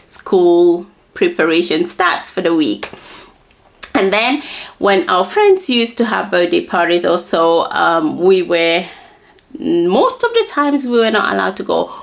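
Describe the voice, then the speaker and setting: Gambian woman chatting casually. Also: on the low side. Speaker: vlogger. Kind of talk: casual personal talk to camera. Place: small plain indoor room.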